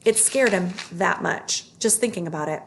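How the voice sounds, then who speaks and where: Creaky-voiced woman reading a children's story. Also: fairly low pitch, normal speed, a little hiss or hum. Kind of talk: read-aloud children's story narration.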